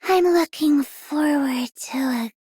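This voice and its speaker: Give me a sleepy, easygoing little game girl, high-voiced, breathy and extremely slow. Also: dark tone, no echo. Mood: drowsy. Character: small, sleepy, laid-back girl who likes slacking off. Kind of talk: scripted game character voice line.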